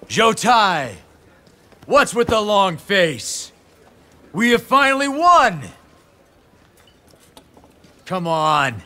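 A man's voice: sits high, sing-song, very slow, long pauses, sharp tone, exaggerated voice. A man playing a boisterous, hard-drinking warrior lord in a video game, hearty and teasing. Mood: jovial, teasing. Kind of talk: dubbed video game cutscene acting.

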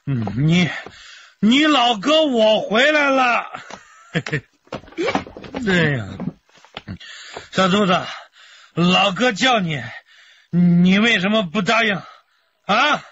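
A man's voice: high-pitched, very animated, slow, pauses often, a bit bright, slight background noise, full character voice. An actor playing a drunk, blustering village man who slurs and threatens in a radio drama. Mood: drunk, menacing. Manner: slurred, drunken bellowing. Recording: dull lo-fi radio play audio.

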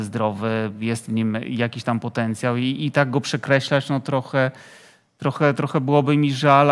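A man talking football as a studio pundit, hesitant and full of fillers, in a TV studio. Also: medium pitch.

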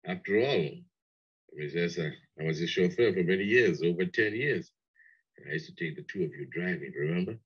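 An actor playing a polite, needling former chauffeur who dwells wistfully on old days in a 1960s radio drama. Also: male voice, deep voice, brisk, slightly rough. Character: nostalgic, ingratiating former chauffeur, loyal visitor. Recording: dull old 1960s radio play recording.

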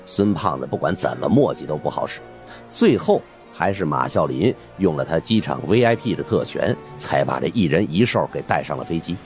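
A man's voice: a bass voice, big pitch swings, fairly quick, a little mellow, soft background music. A man narrating an audiobook as dramatic, scripted storytelling.

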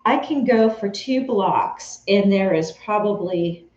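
A woman's voice: mid-range voice, takes it slowly, a little mellow. Chatty woman in a roomy sewing room. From Texas. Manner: chatty, answers viewers by name while working with her hands. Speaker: craft vlogger answering live chat. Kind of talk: casual live-stream chat while sewing. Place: home sewing room, indoors. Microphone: camera on a tripod, a few feet away. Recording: roomy, slightly dull home-camera sound.

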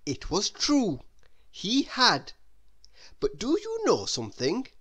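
Very animated, slow-paced woman storyteller. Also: mid-range voice, breathy edge. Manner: deliberate, expressive storytelling with drawn-out phrase endings. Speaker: storybook reader. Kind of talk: children's read-aloud narration.

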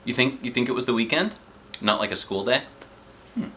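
A man reading a children's book aloud in an expressive, playful way, with chatty asides. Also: mid-range voice, fairly quick, a little hiss or hum. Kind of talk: animated storybook read-aloud with chatty asides. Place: living room sofa, indoors. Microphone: camera a short distance away.

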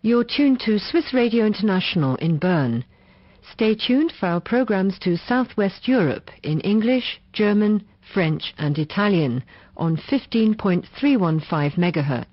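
A woman's voice: medium pitch, animated, measured pace, no room sound. A woman announcer reading a scripted station identification for an international shortwave service. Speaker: radio announcer. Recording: dull, dry shortwave radio recording.

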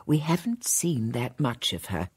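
A kindly older lady in a radio play, soft, breathy and confiding. Her voice is low yet swings widely in pitch. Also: very animated, unhurried, heavily acted. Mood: gentle.

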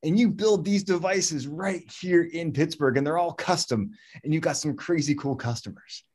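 A man's voice: fairly high pitch, normal speed, darkish tone, breathy edge. A man hosting a radio tech show, upbeat and enthusiastic as he interviews a guest. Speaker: radio host. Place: radio studio.

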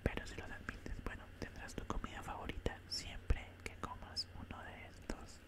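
A young man playing a calm, politely menacing alien doctor in a soothing whispered ASMR roleplay, close to the microphone. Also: lightly acted. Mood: clinical, coolly menacing. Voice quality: soft, breathy unvoiced whisper. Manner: slow, soothing, matter-of-fact. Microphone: close studio microphone.

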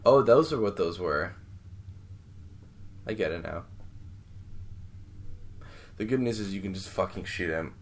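A tipsy man playing a game, sarcastic and deadpan, swearing often. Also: deep voice, a little slow, long pauses. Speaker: gameplay commentator. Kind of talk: casual gameplay chat.

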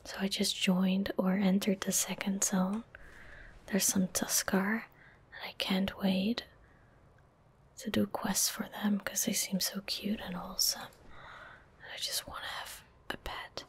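A woman in her twenties giving calm, gentle ASMR game commentary in a breathy whisper, close to the microphone. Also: mid-range voice, measured pace. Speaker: streamer narrating her gameplay. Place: indoor room at a gaming desk. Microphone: close desk microphone.

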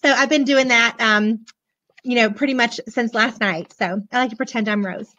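Hoarse high-pitched lady gushing about a kids book on her webcam, dull lo-fi sound. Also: normal speed, bright, forceful tone. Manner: bubbly, gushing, chatty with viewers. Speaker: children's book seller. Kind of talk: casual live book show-and-tell. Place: small room indoors, decorated backdrop. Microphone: webcam at desk.

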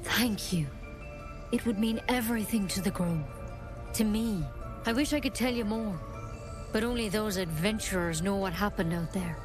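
A woman playing an earnest, knowledgeable druid in a video game, speaking in a frank, measured, explanatory way. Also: medium pitch, music in the background, natural voice. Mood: grateful, solemn. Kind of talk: video game dialogue acting.

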